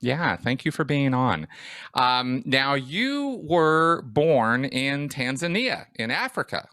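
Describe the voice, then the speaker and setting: Fast upbeat guy hosting his podcast, crisp bright voice, dry close sound. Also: medium pitch, very animated, no echo. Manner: brisk, upbeat, quick talker. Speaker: podcast host.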